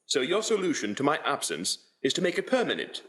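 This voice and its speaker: A man's voice: a little high, wildly swooping pitch, brisk, pushed and bright, full character voice. A man playing a young, pleading, defensive suitor in a radio play, with formal period phrasing.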